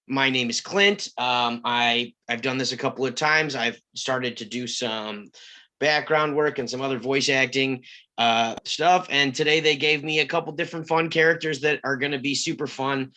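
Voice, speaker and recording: Bright-voiced guy, chatty and gushing, casual intro talk. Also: mid-range voice, fairly even intonation, normal speed. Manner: chatty, effusive. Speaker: volunteer voice actor at table read.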